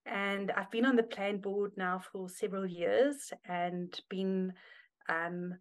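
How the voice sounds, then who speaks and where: A woman talks as a podcast guest. She speaks in a composed, measured way with light hesitations. Her voice sits at a middling pitch. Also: steady tone. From South Africa. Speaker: senior editor, board member. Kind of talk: podcast interview.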